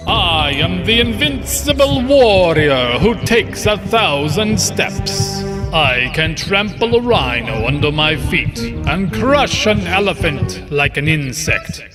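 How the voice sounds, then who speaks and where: A man's voice: a little high, big pitch swings, takes it slowly. A man playing a boastful intruder who poses as an invincible warrior in a children's bedtime story. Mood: boastful, menacing. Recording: long hall reverb, music bed.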